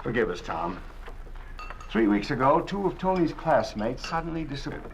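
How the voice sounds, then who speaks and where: A man playing a worried father in a 1950s radio drama, earnest and measured, on a dull old recording. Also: medium pitch, very animated, slight background noise, natural voice. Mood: grave. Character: worried middle-aged father, stern when pushed.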